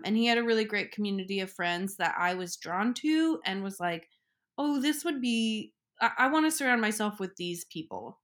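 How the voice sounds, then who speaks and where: A woman's voice: medium pitch, a bit bright. A young woman from Ecuador, a podcast guest, telling her life story in a reflective, chatty way. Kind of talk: casual chat.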